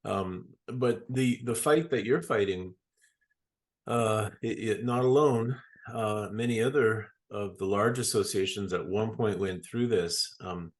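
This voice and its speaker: A man hosting an interview, speaking in a halting way with many fillers and restarts. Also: on the low side. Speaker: interview host. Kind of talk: casual interview.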